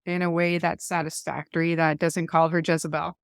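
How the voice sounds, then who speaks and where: A woman's voice: low voice, level tone, a little fast, creaky, clear, resonant tone, no echo, clean studio sound. A woman hosting a faith podcast, talking casually and hesitantly, with frequent restarts and trailing thoughts. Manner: chatty, hesitant, restarts often. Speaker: podcast host.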